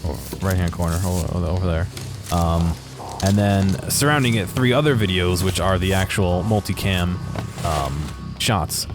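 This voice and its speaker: A man giving casual, unscripted commentary over game footage in a hesitant, halting way. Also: low voice, music in the background. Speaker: video creator narrating game footage.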